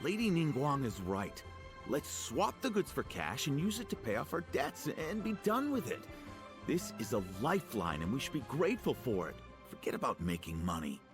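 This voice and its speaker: A man's voice: medium pitch, sing-song, moderate pace, lightly acted. A man voicing a pragmatic merchant in an anime game, earnest, urging and resigned. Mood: pleading, resigned. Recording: music clearly behind the voice.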